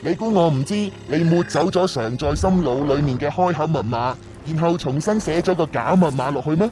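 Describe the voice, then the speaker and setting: An actor playing a smug, mocking master thief in a radio drama, sneering and taunting. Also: male voice, fairly high pitch, fairly quick, a little mellow, slight background noise, lightly acted. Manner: sneering, taunting, theatrical laughs.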